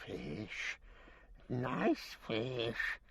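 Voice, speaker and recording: A man's voice: medium pitch, wildly swooping pitch, very slow, gravelly, fairly bright tone, husky, no echo, pitched above the performer's own voice, exaggerated voice. A man voicing a wretched, grasping male creature who hisses, whispers and mutters to himself in a broken, wheedling way. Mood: muttering, wheedling. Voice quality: hissing, whispery, swooping. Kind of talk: audiobook character voice.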